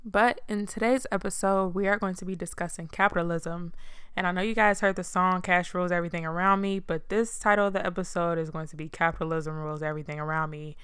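A young woman hosting her own podcast, talking casually in a chill, low-key monotone with frank, profane asides. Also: fast talker, smooth, no room sound, clean studio sound. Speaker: podcast host. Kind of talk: casual solo podcast talk.

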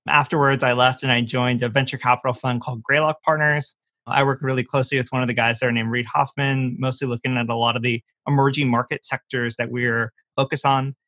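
A man with a bright, forward voice at a middle pitch, recorded dry and close. He explains things conversationally as an interview guest, with enthusiasm and clear emphasis on key words. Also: no room sound. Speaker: venture capital partner, interview guest. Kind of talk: conversational self-introduction and explanation.

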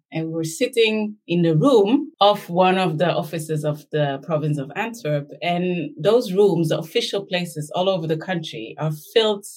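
A middle-aged woman from Belgium, an academic guest speaking reflectively and anecdotally in an interview. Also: on the low side. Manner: reflective, anecdotal, checks in with "right?".

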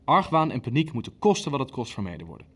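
An actor playing an impatient, ruthless Nazi official in a radio play, insistent and coldly matter-of-fact. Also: male voice, medium pitch, big pitch swings, fast talker, husky. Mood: cold, pressing. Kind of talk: dramatic dialogue in a radio play.

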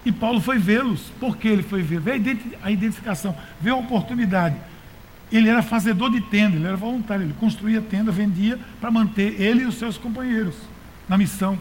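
High-voiced Brazilian man preaching. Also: quick pace, a little mellow, slight background noise. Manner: colloquial, exhorting, repeats phrases for emphasis. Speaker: pastor. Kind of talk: conversational sermon to a congregation.

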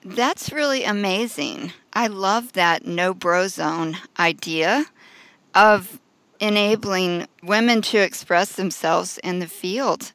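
A woman interviewer who narrates the introduction and asks questions in a clear, polished, encouraging manner. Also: medium pitch, takes it slowly, a bit bright, no echo.

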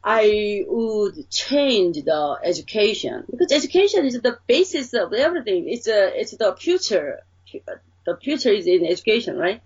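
A woman's voice: medium pitch, very animated, moderate pace, a little mellow, slightly rough, distant mic, lo-fi sound. A woman from Korea with a Korean accent, answering interview questions in a hesitant way with many fillers and restarts. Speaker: interviewee, researcher who hires graduate students.

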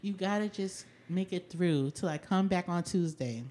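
A woman in her twenties hosts a casual podcast, telling stories in an animated, slangy way. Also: on the low side, moderate pace. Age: 20s. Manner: animated storyteller, slangy, says "yo" a lot. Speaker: podcast host.

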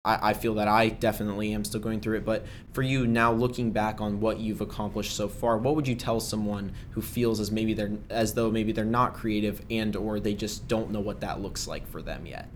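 A man interviewing a guest, asking long, thoughtful questions with some halting restarts. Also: lowish voice, fairly even intonation, a little hiss or hum.

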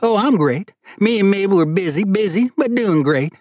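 An older Southern judge, loud and robust in a thick Georgia drawl. His voice rides high and close, with folksy, exasperated humor and emphatic delivery. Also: expressive, normal speed, no room sound. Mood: jovial. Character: boisterous older Southern judge, short pudgy, exasperated, joking.

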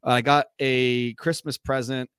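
A man co-hosting a casual sports fan show, chatty and rambling with lots of fillers, a little hoarse from a cough. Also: medium pitch, flat delivery, unhurried, a bit bright, no room sound, studio quality. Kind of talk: casual chat.

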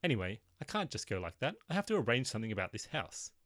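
A man's voice: mid-range voice, sing-song, fast talker, fairly bright tone, no room sound, studio quality. A man voicing a mild, vague middle-aged mother who answers plaintively and mildly indignant.

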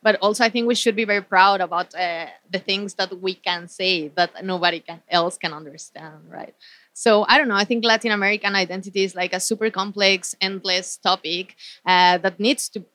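A woman with a mid-pitched voice moderates a panel as a non-native English speaker. She muses and asks open questions informally. The sound is dry, with little room echo. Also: steady tone, brisk, pushed and bright. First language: not English, a non-native English speaker.

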